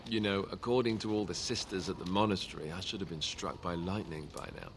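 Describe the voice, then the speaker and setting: Young fantasy game warrior, in love, teasing and earnest, bit of noise behind him. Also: male voice, sits low, quick pace. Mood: wry, teasing. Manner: earnest, teasing, wryly joking. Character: lovestruck, wry, self-mocking young warrior man. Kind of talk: video game dialogue acting.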